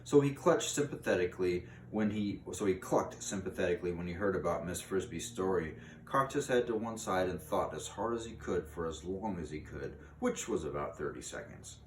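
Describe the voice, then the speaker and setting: A man reading a storybook aloud in an expressive way, with dramatic pauses. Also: low-pitched. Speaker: reader of a children's book aloud. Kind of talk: storybook read-aloud narration. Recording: roomy, distant mic with faint noise.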